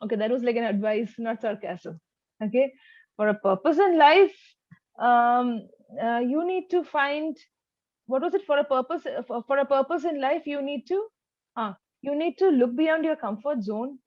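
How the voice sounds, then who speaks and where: A woman with a high voice works as a speaking tutor in a one-to-one lesson. She gives instructions and models sentences with exaggerated rise and fall. The sound is close and dry, though a little muffled. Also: expressive, normal speed, no room sound.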